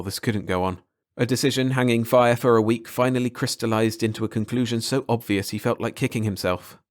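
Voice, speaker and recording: A man narrating a short story aloud in a steady, clear reading. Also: lowish voice. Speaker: audiobook narrator.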